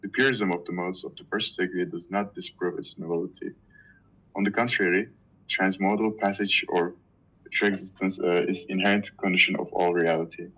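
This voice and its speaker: A man whose first language is Turkish, reading a dense philosophy text aloud to a reading group, halting and stumbling over hard words. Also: sits low, steady tone, fairly bright tone, highs cut off.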